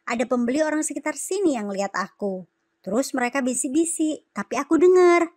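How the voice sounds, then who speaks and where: A teenage daughter complains in a high, upset voice, as a woman plays her. She is embarrassed by gossip in a horror cartoon. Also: expressive, fairly quick, a little mellow. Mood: troubled.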